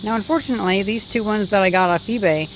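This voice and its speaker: A man's voice: high-pitched, fast talker. A man giving casual hobby vlog narration in a tentative, self-deprecating way outdoors. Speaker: vlogger. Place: outdoors in rural countryside. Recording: noisy outdoor field recording.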